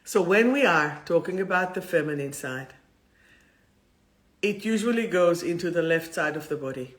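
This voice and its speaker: A woman's voice: fairly low pitch, expressive, moderate pace. A woman with an Israeli accent hosting a chatty livestream spiritual talk in an effusive, playful, rambling manner. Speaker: host of a livestream soul talk.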